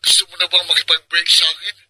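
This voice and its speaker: A man's voice: on the high side, level tone, fast, a crowd in the background, natural voice, low-quality recording. A man playing a heartbroken young lover in a Filipino radio drama, earnest and plaintive. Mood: pleading, worried. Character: heartbroken young man pining for his absent girlfriend.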